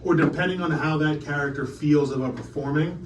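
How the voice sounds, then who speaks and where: A man's voice: fairly high pitch, fairly quick. A man running a tabletop game as game master, explaining rules casually and hesitantly. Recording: roomy, distant mic, noisy room.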